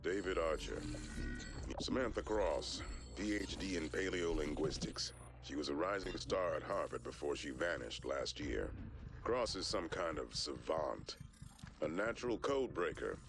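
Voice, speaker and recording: An extremely deep male voice with heavy gravel, playing a grim military veteran in a video game. He reads out intelligence dossiers in clipped, matter-of-fact soldier's slang. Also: fairly bright tone, slight background noise, full character voice. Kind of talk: scripted dossier briefing narration.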